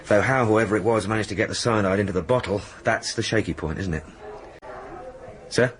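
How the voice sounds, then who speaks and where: An actor playing a plain-spoken English police sergeant in a radio drama, deferential and doggedly sensible. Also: male voice, on the low side, fairly quick, lightly acted. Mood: puzzled, reluctant. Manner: deferential, down-to-earth. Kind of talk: BBC radio drama acting.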